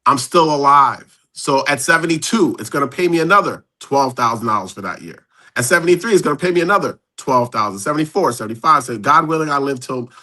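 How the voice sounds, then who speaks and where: A man's voice: medium pitch, expressive, quick pace, pushed and bright. A man in his fifties, a guest on a live stream, casually walking through numbers step by step. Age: 50s.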